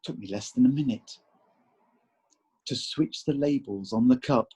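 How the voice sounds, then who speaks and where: A man playing a sly, dry schemer in a measured, deliberate dramatic monologue. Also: mid-range voice, dark tone, husky.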